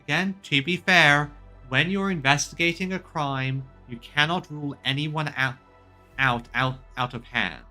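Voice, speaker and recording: A man's voice: on the high side, a little slow, sharp tone, faint music behind. A man doing casual video game commentary as a streamer, chatting and reading lines aloud.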